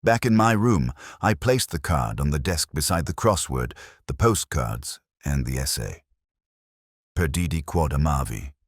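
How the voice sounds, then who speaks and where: A man reading a literary detective story in the first person, with a measured, solemn and reflective delivery. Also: extremely low pitch, animated, no room sound, studio quality. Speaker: audiobook narrator.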